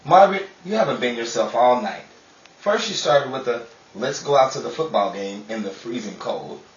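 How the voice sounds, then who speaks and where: A man's voice: mid-range voice, expressive, moderate pace, natural voice. A man playing a grumpy, sarcastic, unromantic homebody husband in a 1930s-style radio drama, with dry exasperation. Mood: exasperated. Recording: dull, roomy, distant, with faint noise.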